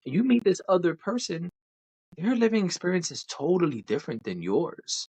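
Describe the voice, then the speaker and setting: A young adult man from Brooklyn, New York, co-hosting a podcast and talking at length in an animated, emphatic way. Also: high voice, moderate pace, breathy edge, rough recording. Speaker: podcast co-host. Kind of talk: casual chat.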